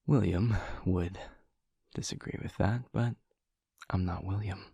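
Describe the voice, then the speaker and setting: A man playing a gentle, devoted vampire lover in an intimate audio roleplay, speaking in a breathy, husky hush with slow, halting, tender pauses, close to the microphone. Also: low voice, dry recording, exaggerated voice. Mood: hushed, thoughtful. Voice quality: breathy, husky, often whispering. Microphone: close microphone, dry.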